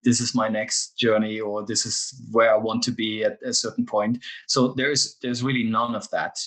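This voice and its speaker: A man's voice: on the low side, level tone, normal speed, fairly bright tone. A man in his forties from East Germany with a German accent, telling personal stories candidly and earnestly as a podcast guest. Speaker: podcast guest, music industry executive. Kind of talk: conversational personal storytelling.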